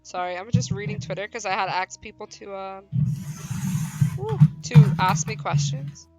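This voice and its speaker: A woman's voice: medium pitch, very animated, moderate pace, music in the background. A woman hosting a game livestream, chatting casually in slang and reading a visual novel aloud. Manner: playful, slangy, exclaiming. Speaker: livestream host and game player.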